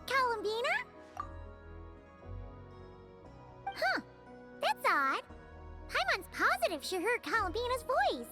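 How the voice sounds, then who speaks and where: A woman voicing a tiny, cheeky, excitable fairy companion in a video game, with a squeaky, childlike, bouncy delivery. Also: unhurried, soft background music, heavily acted. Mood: puzzled. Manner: talks about herself in third person, bouncy. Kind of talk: scripted video game dialogue.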